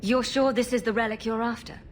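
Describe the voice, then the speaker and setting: A woman playing a calm, diplomatic adventurer heroine in a video game, level and inquiring in manner. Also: on the high side, a little fast, a little hiss or hum, lightly acted. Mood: calm, questioning.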